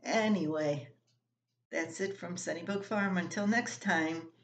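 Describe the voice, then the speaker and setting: A woman's voice: lowish voice, measured pace, slight background noise. A woman in her seventies reading her own personal story aloud in a plain-spoken way at home. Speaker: columnist reading own work. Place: small room at home, indoors. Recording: roomy home echo.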